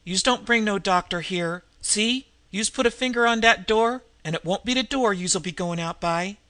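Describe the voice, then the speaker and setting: A reader voicing a delirious female street tough who croaks out harsh, slangy threats. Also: medium pitch, moderate pace, a bit bright, voice pushed up, lightly acted. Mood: snarling. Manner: snarling, threatening.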